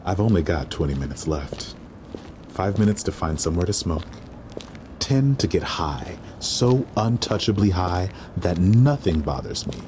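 A man's voice: deep voice, big pitch swings, unhurried, noisy background. A man playing a weary, resentful waiter who narrates an audio drama in a dry, sardonic inner monologue. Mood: brooding, calming. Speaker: lead actor and narrator.

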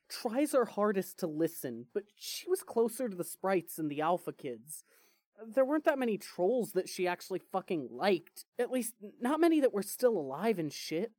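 A woman playing a grieving, foul-mouthed, defensive young man in an audio drama, with halting, vulnerable delivery. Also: medium pitch, darkish tone, no room sound, studio quality. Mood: hurt, rambling. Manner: halting, swearing, raw and vulnerable. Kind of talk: fan fiction audio drama acting.

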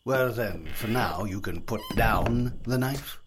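An actor in an audio drama playing a calm, sly medieval conspirator, measured and dryly sardonic. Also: male voice, lowish voice, background noise. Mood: sly, controlled.

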